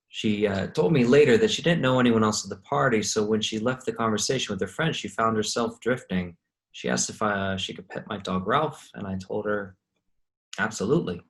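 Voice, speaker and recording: A man playing an anxious, grieving widower in a staged play reading, speaking in a halting, earnest way. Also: low-pitched. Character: grieving anxious widower searching for runaway young sister-in-law.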